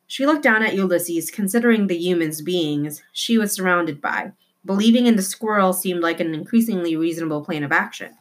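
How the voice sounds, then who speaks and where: A woman narrating a children's novel in an expressive read-aloud style. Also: lowish voice, brisk.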